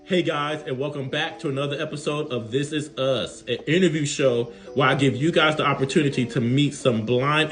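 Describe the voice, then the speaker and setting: A man's voice: mid-range voice, fairly bright tone. Easygoing male interview host. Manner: friendly, easygoing, lots of okays and fillers. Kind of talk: casual interview hosting.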